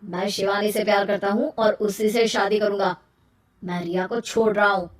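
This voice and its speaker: A woman's voice: medium pitch, steady tone, normal speed, close to an everyday voice. A woman acts a spoiled, stubborn young man from a cartoon village story. He talks bluntly and insistently in a roomy, echoing space, a bit away from the mic. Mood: blunt, annoyed. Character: self-centred, stubborn young man, a spoiled rich son. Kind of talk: animated moral story dialogue. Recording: roomy echo, distant from mic.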